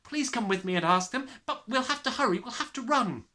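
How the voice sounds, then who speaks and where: A man voicing a desperate, excitable small girl in a storybook, crying out urgently and pleading. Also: extremely high pitch, brisk, voice pushed up, heavily acted.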